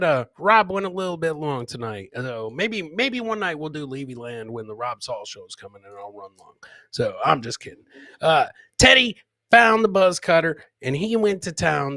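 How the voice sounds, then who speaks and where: A man's voice: fairly high pitch, bright, forceful tone, no room sound. A man hosting a live comedy podcast, jokey and informal, repeating his phrases as he reacts to clips and chat. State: several beers in. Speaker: host.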